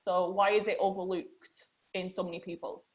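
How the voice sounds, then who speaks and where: A woman's voice: fairly low pitch, level tone, moderate pace. A young woman hosting a podcast interview, chatty and using "like" a lot as she asks questions. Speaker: host.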